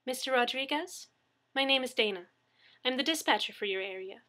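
A woman voicing a businesslike female emergency dispatcher in an audiobook story, calm, polite and procedural. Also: high-pitched, brisk, voice pushed up, heavily acted. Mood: calm, professional.

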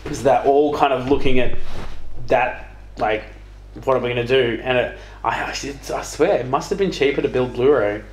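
A man's voice: mid-range voice, normal speed. A young man interviewing in casual chat, throwing in teasing, sweary interjections. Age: young adult. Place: small living room, on a couch. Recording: echoey room with background noise.

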